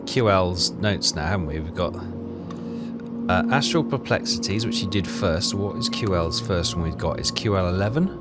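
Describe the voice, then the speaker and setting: A man's voice: deep voice, moderate pace, over loud music. A man hosting a game playthrough, thinking aloud in casual commentary.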